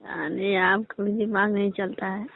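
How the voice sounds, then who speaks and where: A woman's voice: mid-range voice, flat delivery, unhurried, vocal fry. A woman chatting casually and teasingly in short replies. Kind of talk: casual phone chat, listening and reacting. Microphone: phone. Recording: narrow, tinny phone call recording.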